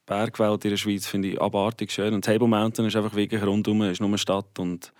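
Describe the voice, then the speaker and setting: A man's voice: lowish voice, little pitch movement, a little fast, darkish tone, no echo, clean studio sound. A young man from South Africa, a native Afrikaans speaker, talking casually in Swiss German with an Afrikaans tinge. He laughs easily and often restarts his phrases. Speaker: guest musician. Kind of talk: casual interview chat.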